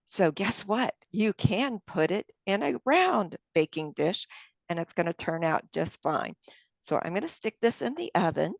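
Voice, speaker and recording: A woman's voice: mid-range voice, big pitch swings, unhurried, vocal fry, no echo. A woman leading a cooking class, walking viewers through each step aloud as she works. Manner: friendly, explains each step aloud while working. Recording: dull lo-fi sound.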